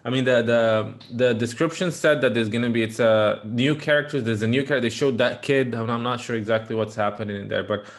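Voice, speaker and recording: A man at a middle pitch hosts a relaxed interview. He builds long, wandering questions, doubling words and stopping to restart as he gathers his thoughts. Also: a little fast, hardly any pauses. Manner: rambling, many restarts and fillers. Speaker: host. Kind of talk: casual interview.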